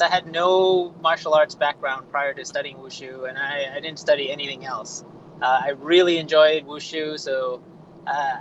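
A man speaks as a guest in a casual podcast interview, matter-of-fact as he lists his training. His voice sits a little high, and it comes through dull and lo-fi with a faint hiss. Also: a bit bright. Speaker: guest, martial artist.

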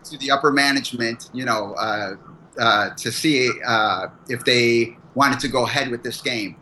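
Casting note: male guest, bright and forceful tone, halting delivery with lots of pauses, faint hiss. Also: medium pitch, rough recording. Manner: hesitant, many fillers. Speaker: guest, martial arts teacher. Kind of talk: casual interview.

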